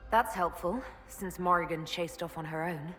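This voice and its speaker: A woman's voice: on the low side, normal speed, a bit bright, faint music behind, natural voice. An actress playing a composed, wry woman leader, the self-assured hero of a fantasy video game. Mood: dry, composed. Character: composed, wry female hero leading an inquisition.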